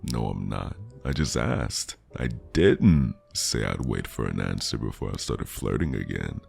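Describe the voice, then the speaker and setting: A man playing a flirty, charming stranger in an intimate, teasing ASMR roleplay, with a deep, gravelly voice and a lingering delivery. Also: lively intonation, unhurried, a little mellow, soft background music, full character voice. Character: flirty charming male stranger at New Year's party.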